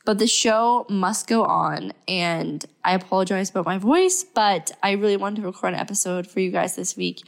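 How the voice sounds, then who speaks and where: A woman's voice: medium pitch, moderate pace, gravelly, hardly any pauses. Stuffy-voiced woman with a cold. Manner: chatty and upbeat, with fillers and laughs. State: stuffy, sick voice. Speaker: podcast host. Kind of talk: casual solo podcast talk.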